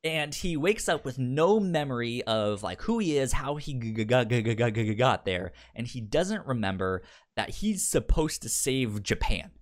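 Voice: medium pitch, animated, moderate pace. A podcast co-host chatting casually and recapping shows, often stammering and repeating words and syllables. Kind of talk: casual chat, pitching and recapping anime.

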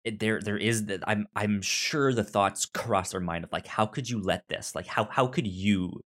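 A man co-hosting a podcast, casually discussing a film in a halting way, often repeating and restarting his words. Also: on the low side, a bit bright. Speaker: podcast co-host reviewing a film.

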